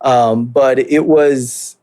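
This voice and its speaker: Man, late twenties, from Mexico, podcast guest, casual and hesitant, lots of fillers, mid-pitched voice. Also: fairly even intonation, drawn-out words, warm tone. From Mexico, raised in southern California and Utah. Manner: hesitant, many fillers and restarts, laid-back. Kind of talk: casual interview storytelling.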